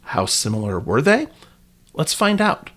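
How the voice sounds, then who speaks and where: A man's voice: fairly high pitch, huge pitch swings, measured pace, fairly bright tone. A man hosting a podcast, reading scripted narration in a wry way with playful asides. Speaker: podcast host.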